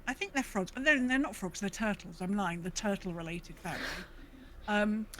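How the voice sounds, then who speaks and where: A woman's voice: medium pitch, lively intonation, moderate pace, fairly bright tone, a little hiss or hum. A woman co-hosting a podcast, chatting casually about gaming in a wry, self-correcting way. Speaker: podcast co-host.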